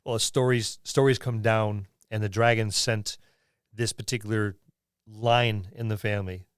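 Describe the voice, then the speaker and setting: A man's voice: lowish voice, fairly even intonation, unhurried, smooth, no room sound, clean studio sound. A man co-hosting a podcast, casually discussing tabletop gaming and explaining in a vivid, descriptive way. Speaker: podcast co-host.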